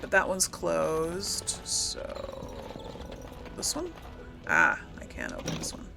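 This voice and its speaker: A woman narrates her own video game session in a casual way. Her voice is slightly low and slow, with lively swings in pitch as she thinks out loud over faint game ambience. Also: vocal fry, over music. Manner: thinking aloud. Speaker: gaming video host. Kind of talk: casual role-play gameplay commentary.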